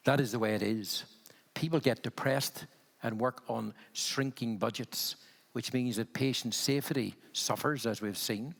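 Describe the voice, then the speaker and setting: A gravelly-voiced man in his sixties speaks with a Belfast accent. He talks candidly at a conference panel, with a wry edge and frequent restarts. His pitch sits in the middle range. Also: unhurried, long reverb tail. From Northern Ireland.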